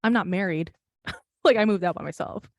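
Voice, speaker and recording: A woman's voice: mid-range voice, a little fast, no echo, studio quality. A young woman co-hosting a podcast in casual chat, rambling with lots of fillers, laughing often and swearing. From United States. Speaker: podcast co-host.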